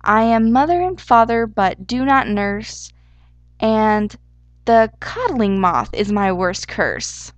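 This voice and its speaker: A woman's voice: on the high side, expressive, takes it slowly, dry recording. A woman voicing a fussy, eager male storybook hare with a German accent, exclamatory and easily indignant. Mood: proud. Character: excitable, fussy male Easter hare wearing pants. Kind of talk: storybook character voice.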